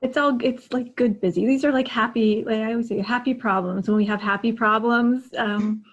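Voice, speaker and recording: A woman co-hosting a casual video-call chat, halting and repeating words as she gushes. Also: a little high, fairly even intonation, lo-fi sound. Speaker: co-host. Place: indoor room, video call.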